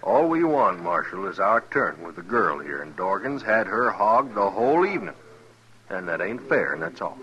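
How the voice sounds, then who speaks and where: Sneaky old west gunslinger, mock innocent, low sharp voice, swoops around. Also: male voice, big pitch swings, moderate pace, exaggerated voice. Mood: aggrieved. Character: sly, needling western gunman feigning innocence. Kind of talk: 1950s western radio drama acting. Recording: muffled old 1950s radio recording.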